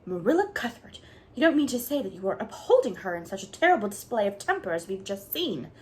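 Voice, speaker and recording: A woman's voice: mid-range voice, sing-song, fairly quick, a little hiss or hum, natural voice. A woman voicing a blunt, gossipy older village woman who talks emphatically. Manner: emphatic, speaks her mind.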